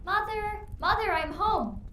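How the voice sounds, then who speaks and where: A woman's voice: extremely high pitch, unhurried, pushed and bright, heavily acted. A woman playing a dutiful young working daughter in an audio drama. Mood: excited.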